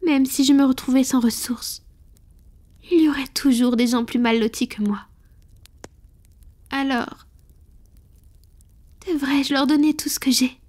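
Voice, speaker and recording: Casting: tender, morally torn vampire lady played by a woman, high breathy voice, whispered intimate ASMR roleplay delivery. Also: a little mellow. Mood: troubled, reflective. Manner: slow, intimate, hesitant. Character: tender, conflicted wealthy female vampire confiding in her beloved.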